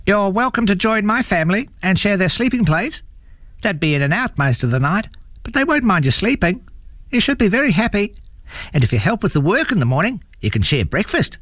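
A man's voice: sits high, sing-song, fairly quick, fairly bright tone, no echo, studio quality, voice pushed up, full character voice. A man voicing a kindly, welcoming furry possum in a children's story, chirpy and polite. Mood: welcoming, eager.

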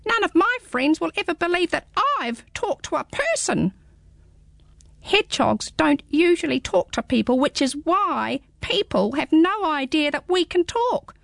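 A woman voicing a small, talkative, cheeky hedgehog in a storybook radio reading, with a high squeaky voice. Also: big pitch swings, a little slow, gravelly, a bit bright, no room sound, studio quality, full character voice. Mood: chatty. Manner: fussy, talkative.

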